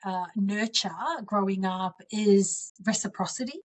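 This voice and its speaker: A woman's voice: medium pitch, steady tone, unhurried. A woman in her forties, originally from Samoa, answering interview questions earnestly and reflectively as a guest politician.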